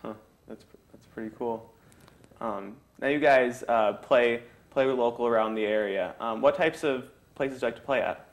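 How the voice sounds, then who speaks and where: Casual male interviewer, medium pitch. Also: moderate pace. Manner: informal, frequent short acknowledgements. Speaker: interviewer, host.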